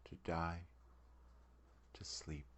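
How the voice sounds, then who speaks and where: Brooding prince played by a man, low-pitched, weary of the world, deliberate classical stage soliloquy. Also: level tone, a bit bright, exaggerated voice. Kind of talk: dramatic soliloquy reading, Shakespearean verse.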